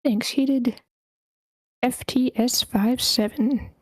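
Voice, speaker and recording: A woman's voice: a little high, steady tone, slow pace, husky, no room sound. A woman informally moderating an online group meeting, addressing participants and running a feedback round. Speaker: moderator of an online table read. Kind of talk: informal moderating, calling on speakers.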